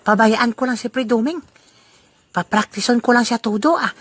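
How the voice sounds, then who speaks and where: A bragging, teasing old man in a radio-style drama, acted by a woman. The voice sits high and husky and moves at an unhurried pace. It is recorded dry and close, with no room sound. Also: no room sound. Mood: boastful. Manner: boastful, teasing, laughs often. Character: boastful old man Lolo Albino, jolly rival. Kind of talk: amateur audio drama acting.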